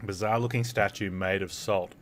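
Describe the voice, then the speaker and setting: A man's voice: lowish voice, steady tone, normal speed, fairly bright tone, a little hiss or hum. A man giving casual, chatty commentary as he streams a game. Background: game music.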